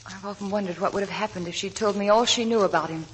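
An actress playing an earnest, shy young working woman, tender and wistful, speaking softly in a 1940s radio drama. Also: medium pitch, quick pace, slight background noise, lightly acted, poor audio. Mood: wistful, reminiscing. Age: young adult. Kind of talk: 1940s radio drama acting, dialogue and narration. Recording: vintage 1940s radio broadcast, music behind.

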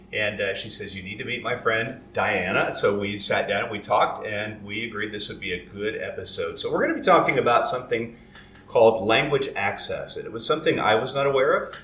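A man's voice: lowish voice, sharp tone. A man hosting a podcast in a conversational, folksy way, introducing topics and talking with guests. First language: English. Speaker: podcast host. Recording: roomy echo with background noise.

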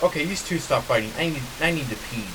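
A man's voice: a little high, expressive, fast, lightly acted. A man playing an easygoing, conciliatory sidekick in an amateur audio comedy. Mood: mildly bored. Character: easygoing, bored male sidekick and peacemaker. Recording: distant mic, faint background hiss.

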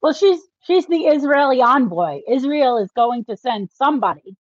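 A woman's voice: sits high, expressive, normal speed, dry recording. A woman co-hosting a casual book discussion, explaining things and often checking that she is followed, on slightly dull audio. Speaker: podcast co-host.

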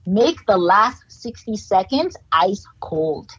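A woman's voice: mid-range voice, very animated, takes it slowly, a bit bright, slightly rough, dull audio. A woman with a Haitian accent, a guest in a casual interview, explaining in an upbeat, motivational way.

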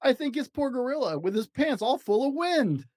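A man's voice: very high voice, very animated, normal speed, darkish tone, no echo, pitched above the performer's own voice. A man voicing a hyena with a whiny, comic manner in a children's storybook. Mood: amused. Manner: whining. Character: whiny, then giggly male hyena.

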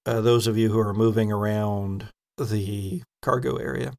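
A man narrating as game master of a tabletop role-playing game, conversational and improvised, pausing as he describes things. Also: on the low side, level tone, gravelly, darkish tone.

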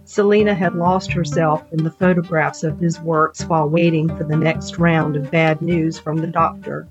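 Low-voiced woman reading a story, slightly dull audio. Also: little pitch movement, moderate pace, music in the background. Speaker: writer reading own short story. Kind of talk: scripted literary narration. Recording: dull lo-fi audio, background noise.

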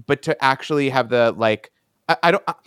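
A man's voice: medium pitch, brisk, fairly bright tone, clear, resonant tone, dry recording, studio quality. A man co-hosting a podcast, talking casually and emphatically in an informal way. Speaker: podcast host. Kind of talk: casual fan podcast chat.

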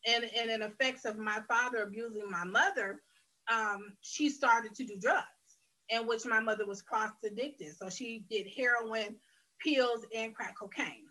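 A woman's voice: on the high side, a little slow, pushed and bright, low-quality recording. A woman, a guest on a faith interview, telling her life story earnestly and candidly, often restarting her phrases. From Detroit, Michigan, USA. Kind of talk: personal testimony in a faith interview.

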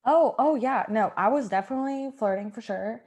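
Woman playing an awkward flirt, fairly high voice. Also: brisk, darkish tone, lightly acted. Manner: tentative, sheepish. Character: awkward, earnest male flirt with pickup lines. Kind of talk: improvised comedy scene.

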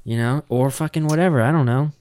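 A man's voice: mid-range voice, quick pace, darkish tone, clean tone, dry recording. A young man co-hosting a podcast in casual, profane, joking chat. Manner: loose, profane, laughing. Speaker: podcast co-host. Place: podcast room.

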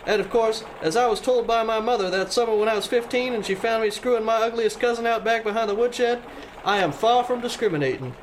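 A man's voice: very high-pitched, brisk, a little hiss or hum, rough recording. A man playing a crude, wisecracking young bus driver in a comedic radio play, with a cocky, teasing delivery.

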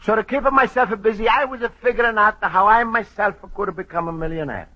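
An actor playing a naive, excitable Italian immigrant who speaks broken English with a heavy Italian accent, stammering in an old-time radio comedy. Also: male voice, sits high, brisk, highs cut off. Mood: wistful.

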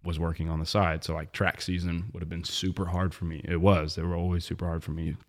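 A young man from Missouri with a very low voice, a guest on a faith podcast. He talks in a loose, rambling way, full of restarts and fillers. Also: little pitch movement, fast. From southwest Missouri. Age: young adult. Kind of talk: casual faith podcast interview.

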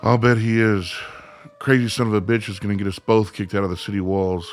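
Radio drama lead: irritable, sarcastic, hungover city man acted by a male performer, low voice, sweary and combative. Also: little pitch movement, a little fast, soft background music, close to an everyday voice. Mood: grumbling, exasperated. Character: Harry Selden, cynical, foul-mouthed, hungover, irritable city man. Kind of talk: radio play acting.

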